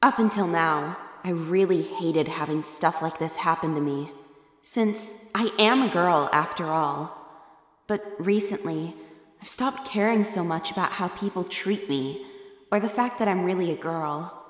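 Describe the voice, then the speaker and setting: A woman playing a cheerful, nosy high-school teenager with hidden worries in a manga dub, with a husky voice. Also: measured pace. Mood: subdued, anxious.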